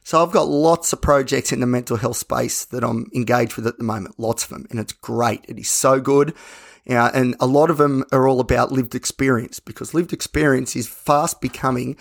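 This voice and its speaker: An Australian man talks candidly as a solo podcast host. His voice is a bit croaky with plenty of fry, at an average pitch. He rambles conversationally and often corrects himself. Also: fairly even intonation, normal speed. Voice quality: slightly croaky.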